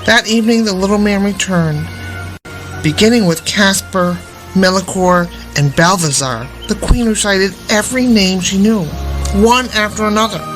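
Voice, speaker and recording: A woman's voice: mid-range voice, normal speed, poor audio. A woman storyteller reading a fairy tale aloud expressively. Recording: music behind the voice. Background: background music.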